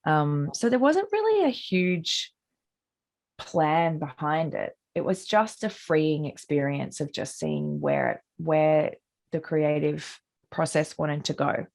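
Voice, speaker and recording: Husky, gravelly Australian woman musician. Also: low-pitched, sing-song, slow, darkish tone. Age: middle-aged. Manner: rambling, many likes and restarts. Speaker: musician interviewee. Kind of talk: casual interview answers.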